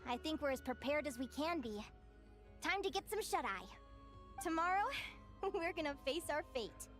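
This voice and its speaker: A woman's voice: high-pitched, animated, normal speed. A woman playing a tiny, childlike and cheerful girl companion in a video game, with an eager, cheering manner. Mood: chirpy, determined. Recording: loud game music under the voice. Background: game music.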